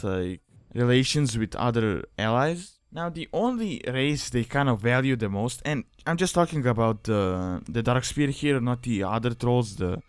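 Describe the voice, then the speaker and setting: A man's voice: medium pitch, smooth, dry recording. A man giving a casual explanatory voice-over as a guide narrator, in an informal, rambling way.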